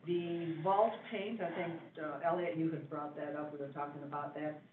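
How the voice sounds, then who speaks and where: A man giving a building report at a township board meeting, halting with many fillers and restarts. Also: fairly high pitch, steady tone, a little hiss or hum. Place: meeting room. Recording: echoey room, far mic, phone-like band.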